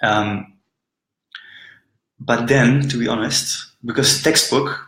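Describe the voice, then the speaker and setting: Belgian guy founder chatting away in an interview, bright forceful voice, echoey room. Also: mid-range voice, slow pace. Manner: chatty, peppers talk with "look" and "right?". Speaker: startup founder and CEO. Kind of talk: casual interview storytelling.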